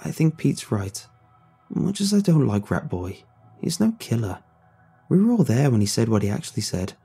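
A man voicing a teenage boy who speaks with hesitation, calmly reasoning. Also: mid-range voice, moderate pace, vocal fry, husky, soft background music, heavily acted.

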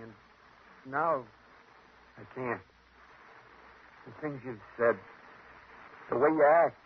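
Desperate gunman played by a man: American, mid-pitched, intense, slangy menace, for a dull-sounding vintage radio thriller. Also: close to an everyday voice. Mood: anguished, pleading. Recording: muffled, noisy 1950s radio recording.

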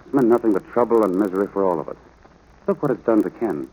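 A man's voice: on the low side, expressive, fast talker, breathy edge, phone-quality sound. An actor playing a stern, practical rancher and father in an old radio drama, clipped and authoritative. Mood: stern, resolved. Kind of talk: 1940s radio drama acting. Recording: noisy old radio broadcast.

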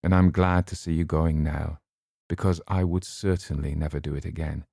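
A wry young man mocks his own courage in a dry, understated tone, as a male audiobook reader plays him. The voice is deep and mellow. The sound is close, with no room around it. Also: level tone, moderate pace, creaky, no echo, heavily acted.

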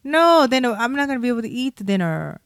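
A woman with a Japanese accent, co-hosting a casual chat show, playful and quick to laugh. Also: fairly high pitch, very animated, no room sound, studio quality. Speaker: podcast co-host.

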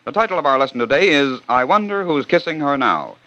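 Man, scripted radio narrator, quick delivery, bright forceful tone, dull 1940 recording. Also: a little high, animated. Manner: brisk, wry storytelling.

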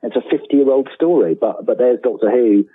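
A man gives casual interview answers over a thin, roomy phone line. His voice is husky and on the low side. He often restarts and rephrases as he works through a thought. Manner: halting, rephrases and repeats words as he thinks aloud. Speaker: guest author and lifelong fan. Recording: tinny, roomy telephone-like line.

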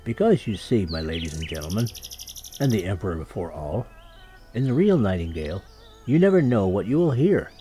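A man's voice: fairly low pitch, big pitch swings, fairly quick, warm tone. A man voicing a fussy, self-important music master in a children's storybook, lecturing pompously. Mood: smug, insistent.

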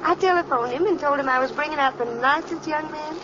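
A woman's voice: high voice, fairly quick, natural voice. An actress playing a sweet, coy young woman in an old radio crime drama. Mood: sweet, chatty. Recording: muffled, noisy old radio recording.

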